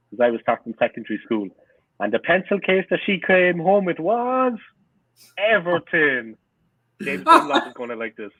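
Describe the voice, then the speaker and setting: A man's voice: medium pitch, no echo. A man in his forties with a thick Cork Irish accent comes through a thin, phone-like remote line. His speech is dry and close, with no room sound. He tells his stories earnestly and says things twice to drive them home. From Cork, Ireland. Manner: earnest, repeats himself for emphasis. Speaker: podcast guest, fan channel owner. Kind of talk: casual interview storytelling.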